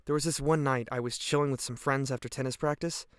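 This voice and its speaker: A man's voice: medium pitch, steady tone, fast, clean tone, no echo, clean studio sound, close to an everyday voice. A man acting a guilt-ridden, earnest teenage boy giving hesitant, reflective testimony in an audio drama. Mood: weary, guilty. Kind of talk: audio drama monologue, police interview testimony.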